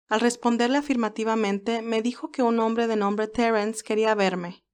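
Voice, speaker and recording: A woman's voice: a little high, close to an everyday voice. Woman acting out an earnest, remorseful young woman. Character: young tearful, remorseful, naive woman, a nurse.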